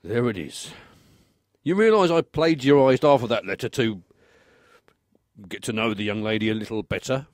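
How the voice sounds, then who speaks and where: A man's voice: medium pitch, expressive, moderate pace, long pauses, no echo, studio quality, natural voice. A man playing a put-upon, obliging odd-job man in a radio play, talking in a wry, incredulous, conversational way. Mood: sheepish.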